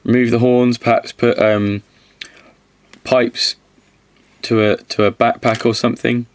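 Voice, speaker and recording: A man with a slight cold-hoarse voice, casually reviewing miniatures, rambling and correcting himself as he goes. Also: mid-range voice, flat delivery, a little slow, dry recording. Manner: rambling, self-correcting, counts aloud.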